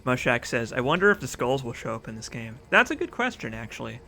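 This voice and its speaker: A man's voice: medium pitch, sing-song, fast, creaky, bright, forceful tone, slight background noise. A young man casually chatting through a game stream, reading out chat comments and rambling with fillers. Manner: chatty, rambling, reads chat aloud, laughs often.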